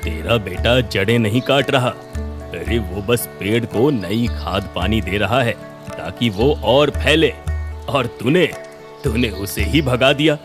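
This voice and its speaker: A man voicing a wise, calm old village gentleman in a cartoon story, giving measured, gently reproving advice. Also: fairly low pitch. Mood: calm reproof. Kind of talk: animated cartoon story dialogue. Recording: music under the voice.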